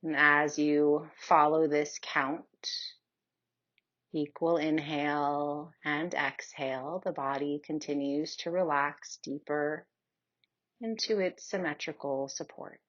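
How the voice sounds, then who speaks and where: A woman leading a guided yoga nidra meditation in a soothing, unhurried manner with long pauses. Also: sits low, steady tone, fairly bright tone, highs cut off.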